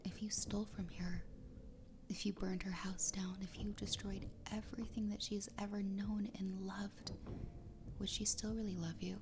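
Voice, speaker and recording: A woman playing an adoring, obsessive fox girl wife in an ASMR roleplay, whispering breathily and close to the microphone in a slow, intimate, soothing way. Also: medium pitch, little pitch movement, close to an everyday voice. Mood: quietly possessive. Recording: close whisper with clear background noise.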